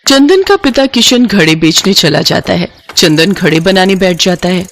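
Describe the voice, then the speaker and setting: A woman's voice: fairly low pitch, animated, moderate pace. A woman narrator reading a scripted moral story.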